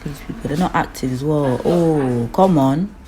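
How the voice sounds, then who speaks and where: A woman's voice: deep voice, normal speed, warm tone, a little hiss or hum. A woman with a London accent chatting casually and laughing a lot, using plenty of slang with strangers on a video app, with music playing behind her. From East London. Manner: slangy, laughs often, talks to viewers and strangers. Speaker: YouTuber.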